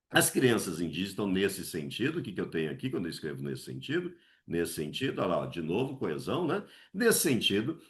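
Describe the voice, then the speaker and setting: A man from Brazil teaching an exam essay lesson, asking himself questions and answering them, with many tag questions. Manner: swings his pitch high to act out voices.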